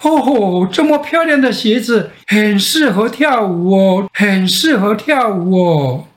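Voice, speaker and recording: A man's voice: high-pitched, animated, slow. A man voicing an admiring, eerily coaxing old soldier in a bedtime story, cooing and drawing out his words. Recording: echoey room sound.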